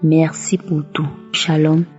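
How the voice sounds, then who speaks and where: A woman's voice: low-pitched, level tone, takes it slowly, darkish tone. A devout woman giving a religious testimony and offering thanks. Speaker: testimony giver. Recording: muffled lo-fi audio over music. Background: background music.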